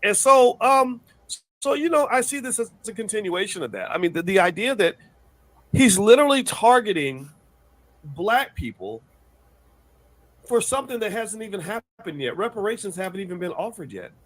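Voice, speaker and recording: Animated, rambling male news host. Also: sits high, moderate pace, no room sound, low-quality recording. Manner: animated, rambling, with many repeated words. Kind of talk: news commentary.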